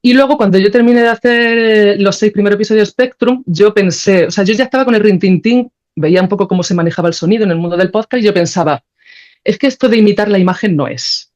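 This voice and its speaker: A woman with an accent from Spain speaks as an interview guest in a slightly muffled recording. Her voice is on the low side for conversation's reflective, informal flow, as she thinks aloud and often seeks agreement. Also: fast, darkish tone. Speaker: podcast guest, composer and philosopher.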